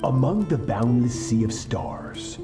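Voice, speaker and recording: An actor playing a cold, ruthless male warlord who trains his apprentice, speaking in a slow, grave and contemptuous way. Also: medium pitch, sing-song, echoey room, music in the background. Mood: menacing. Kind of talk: game cutscene voice acting.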